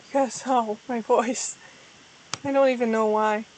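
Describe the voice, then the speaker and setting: A young woman talks in a high voice gone hoarse and tired. She rambles through a casual livestream chat, restarting her sentences and running herself down a little. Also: fairly even intonation, measured pace, a little mellow, slight background noise, rough recording. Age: young adult. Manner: rambling, self-deprecating, frequent restarts. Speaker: VTuber streamer.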